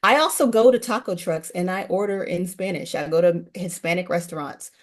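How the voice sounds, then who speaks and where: A woman's voice: fairly low pitch, fairly quick. A woman guest running a language program, chatting earnestly in a casual interview.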